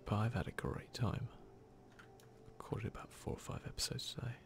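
A man hosting an ASMR video game playthrough, commenting in a hushed voice that drifts between soft speech and whispering, close to the microphone. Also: lowish voice, moderate pace, vocal fry. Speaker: gaming video host.

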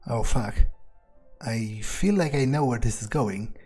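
A man narrating a video game playthrough in character, casual and wry, swearing often and filling pauses with ums and uhs. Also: medium pitch, a little mellow, faint music behind. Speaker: gamer roleplaying his character.

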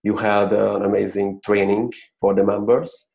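A man from Hungary speaks in a low, level voice with a clear Hungarian accent, pausing often with "uh" as he tells his story earnestly. He sounds like a guest on a video call. The webcam audio is dull and lo-fi. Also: level tone, darkish tone. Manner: earnest, halting with many "uh" fillers. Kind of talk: interview over video call. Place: small plain-walled room.

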